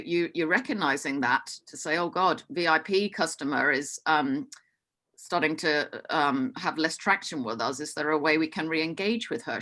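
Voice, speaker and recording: Woman with Hong Kong accent, forum panelist, bright crisp tone, eager and businesslike, frequent restarts, video-call sound. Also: low-pitched. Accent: Hong Kong English. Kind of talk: conference talk.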